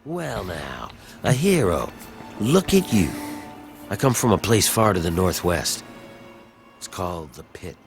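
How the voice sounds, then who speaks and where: A man's voice: sits low, sing-song, measured pace, creaky, heavily acted. An actor playing a weary, desperate rebel in a video game, pleading earnestly and dramatically for his enslaved people. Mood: sardonic admiration. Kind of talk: video game dialogue acting. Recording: game audio with background music.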